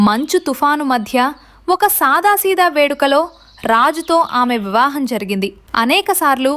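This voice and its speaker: A woman's voice: high voice, fairly bright tone. A woman giving scripted narration as the storyteller of a tale.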